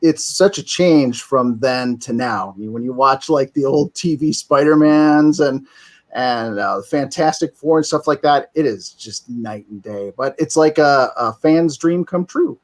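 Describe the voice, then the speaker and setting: A man's voice: medium pitch, measured pace. A man in his fifties, a voice actor guest, talking in an easygoing, self-deprecating, rambling way. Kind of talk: casual podcast interview.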